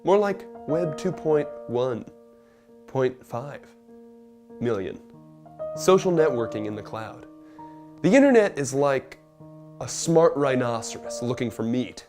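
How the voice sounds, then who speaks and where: A man playing a smug, solemn tech pitchman in a parody advert, clipped and self-serious. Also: very animated, takes it slowly, long pauses, a little mellow, faint music behind. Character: smug, solemn tech promo pitchman spouting cloud nonsense.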